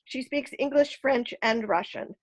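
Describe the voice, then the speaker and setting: Woman, host, high pitch, measured list-like reading, online call. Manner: steady, list-like delivery. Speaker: host introducing the speaker. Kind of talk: reading a speaker introduction. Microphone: video call.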